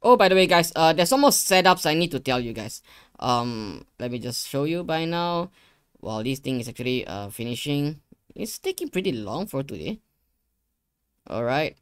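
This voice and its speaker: A man's voice: fairly high pitch, sing-song, moderate pace, a bit bright, smooth, dry recording. Casual young man giving a tutorial, dry sound. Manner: informal, repeats phrases, addresses viewers. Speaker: tutorial host. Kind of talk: casual screen-share tutorial. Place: indoor gaming room at a desk.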